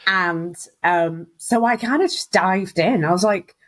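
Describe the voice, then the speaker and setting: A woman's voice: on the low side, a little slow. A woman, a guest telling her career story in a chatty way and laughing often. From Wales. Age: 30s. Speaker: guest, recruitment business founder. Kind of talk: casual interview chat.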